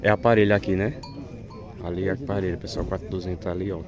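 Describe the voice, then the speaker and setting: A man's voice: sits low, flat delivery, fast, lo-fi sound, a crowd in the background. A man with a Pernambuco Brazilian accent chatting casually to his viewers while filming cattle pens at a livestock fair, calling out prices on a handheld phone camera. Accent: Pernambuco Brazilian Portuguese. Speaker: vlogger filming a cattle market. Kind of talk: casual running commentary to viewers.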